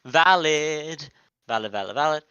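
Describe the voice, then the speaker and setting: Lively guy on a dull call line. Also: fairly high pitch, clean tone, no echo. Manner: agreeable, quick short replies. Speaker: co-streamer on voice call. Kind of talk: casual call chat. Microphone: voice call mic. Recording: dull dry voice-call audio.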